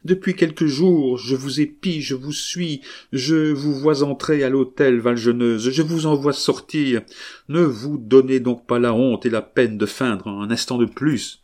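A man's voice: medium pitch, steady tone, unhurried, a little mellow, pitched above the performer's own voice, lightly acted. A man reading aloud and voicing a proud, jealous young Creole wife who accuses her husband in an imperious way. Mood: cold fury. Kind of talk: audiobook reading.

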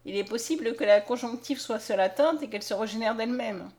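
A woman reading a kindly old doctor, calm. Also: medium pitch, lightly acted. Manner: calm, soothing, measured.

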